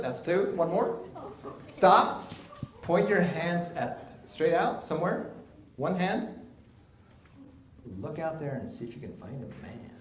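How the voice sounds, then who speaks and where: A man presenting a live seminar, joking with the audience and calling up volunteers in an energetic way. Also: on the high side. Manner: energetic, joking, drives the crowd. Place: large hall with live audience. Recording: echoey hall with crowd noise.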